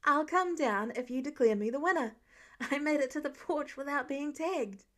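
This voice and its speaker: A woman acts out a cheeky teenage boy in a family storybook. The voice is high, and the delivery is playful, full of theatrical mock pleading. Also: moderate pace, close to an everyday voice.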